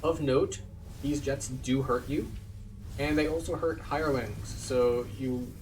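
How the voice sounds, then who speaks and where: A man's voice: mid-range voice, steady tone, slow pace, darkish tone, recorded from a distance, a little hiss or hum, low-quality recording. A man casually explaining a video game as he plays, like a tour guide pointing things out. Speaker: game walkthrough host. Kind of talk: casual gameplay commentary.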